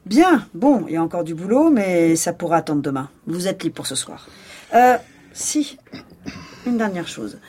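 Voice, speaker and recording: Low, husky woman police chief. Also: sing-song, moderate pace, mellow. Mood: dry authority. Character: composed female police chief, dryly authoritative. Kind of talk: French audio fiction acting.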